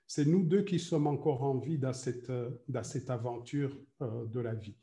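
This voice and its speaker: A man's voice: medium pitch, a little slow, warm tone, roomy sound. A man in his fifties, from Rwanda, leading an online training workshop, hesitant with many fillers as he talks directly to participants. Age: 50s. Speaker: trainer and coach. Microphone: video call.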